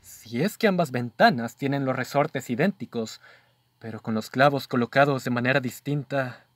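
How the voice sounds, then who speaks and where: A man's voice: mid-range voice, moderate pace, no echo, natural voice. A Mexican man acting in a student radio drama as a detective's inquisitive companion, polite and earnest in his questioning. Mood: eager.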